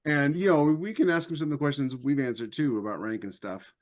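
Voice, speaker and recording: A man's voice: fairly high pitch, fairly quick. An American man co-hosting a podcast, talking in a casual, anecdotal way. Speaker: podcast co-host, army veteran.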